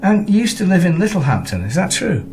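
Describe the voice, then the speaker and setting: An actor playing a bewildered, impatient young British husband in a naturalistic radio drama, blunt and questioning. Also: male voice, sits high, very animated, quick pace, a little mellow, faint music behind. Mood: confrontational. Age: young adult.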